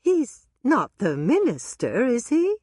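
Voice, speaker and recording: A woman voicing an excitable, romantic bride who speaks high and gushing. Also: takes it slowly, no echo, clean studio sound, exaggerated voice. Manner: bright, gushing.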